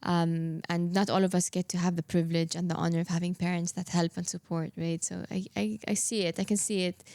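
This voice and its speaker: A woman from Saudi Arabia hosts a relaxed interview in a somewhat low voice. She is affirming and encouraging and often repeats her guest's words back. The sound is dry and close, with no room echo. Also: brisk. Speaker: podcast host. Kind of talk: conversational interview.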